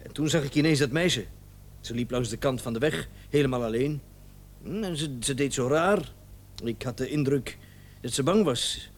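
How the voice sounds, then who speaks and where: A man's voice: mid-range voice, normal speed, lightly acted. An actor playing a flustered, indignant and evasive male doctor in a radio drama, stammering and repeating himself when agitated. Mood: placating, recounting.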